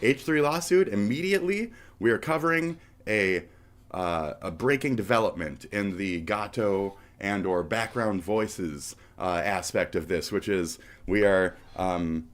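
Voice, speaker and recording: A man's voice: fairly low pitch, lively intonation, a little slow. A man hosting a live stream, stuffy from a snotty nose, in a profane, rambling talk that slides into half-rapped riffs. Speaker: streamer host. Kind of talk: casual live-stream rant.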